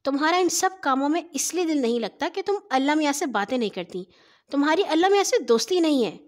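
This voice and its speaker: A woman's voice: high-pitched, animated. A woman voicing an exasperated older sister in a children's story, emphatic, reproachful and instructive. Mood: earnest advising. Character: exasperated older sister scolding then gently advising.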